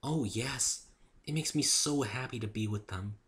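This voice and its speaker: A man voicing a shy, gentle female pony in a story reading, very soft and hesitant. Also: mid-range voice, measured pace, voice pushed up, natural voice. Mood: shy, sweet. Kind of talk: dramatic reading of fan fiction.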